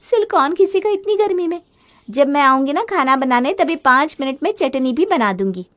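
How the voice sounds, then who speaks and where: A woman voicing a young, modern daughter-in-law, chatty, impatient and quick to complain, in a family moral story. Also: high voice, expressive, a bit bright. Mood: dismissive.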